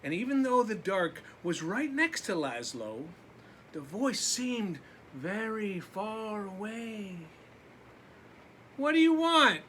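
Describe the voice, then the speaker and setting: Slow, animated man reading to children. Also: high-pitched, slight background noise, low-quality recording. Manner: simple asides to young listeners. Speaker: preschool teacher reading aloud. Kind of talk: picture-book read-aloud for young children.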